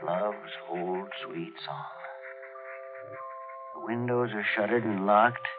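Slow, fearful old man. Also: on the low side. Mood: brooding, wary. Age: older. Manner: halting, fearful, brooding monologue. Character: frightened, frail, wary old man. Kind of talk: 1940s radio drama acting. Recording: muffled vintage radio recording, organ music.

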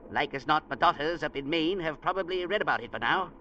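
A man's voice: a little high, animated, fast, bright, forceful tone, dull audio. An actor playing a cocky, hot-tempered, stubborn small sea captain in a 1940s radio drama, barking his orders. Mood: stubborn, scheming. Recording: noisy old radio drama recording.

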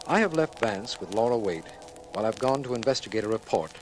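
An American man acting a brisk, insistent district attorney in a 1940s radio drama, with an emphatic official manner. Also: on the low side, lively intonation, close to an everyday voice. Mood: grave recap. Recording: noisy 1940s radio recording.

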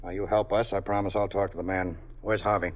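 A man's voice: deep voice, level tone, quick pace, close to an everyday voice. An actor playing a stern male police lieutenant who questions a suspect curtly, in 1950s radio drama style. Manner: curt, firm questioning. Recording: dull old radio broadcast.

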